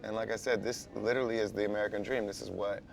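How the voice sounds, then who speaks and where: A man's voice: fairly low pitch, level tone, quick pace, creaky, slight background noise. A man chatting earnestly over lunch in a restaurant, building his points step by step. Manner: earnest, persuasive, speaks in building phrases. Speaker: guest in casual lunch chat. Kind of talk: casual conversation. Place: busy restaurant. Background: restaurant crowd chatter.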